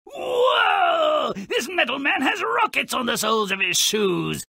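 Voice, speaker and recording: Gravelly, sardonic little fairy-tale fiend. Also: male voice, extremely high pitch, huge pitch swings, slow pace, shouting, noisy background, heavily acted. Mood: gleeful. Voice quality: gravelly, raspy. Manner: theatrical, sarcastic, mocking storyteller. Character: sardonic, gleefully morbid gnome-like fairy-tale troublemaker. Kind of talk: scripted cartoon game narration.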